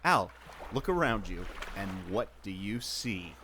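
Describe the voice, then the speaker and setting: A man's voice: lowish voice, big pitch swings, a little slow, sharp tone, exaggerated voice. A man playing a resourceful, know-it-all former mariner who explains things emphatically in a radio adventure. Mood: exasperated. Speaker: podcast host acting in audio drama. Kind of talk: amateur radio adventure acting. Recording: noticeable ambient background noise.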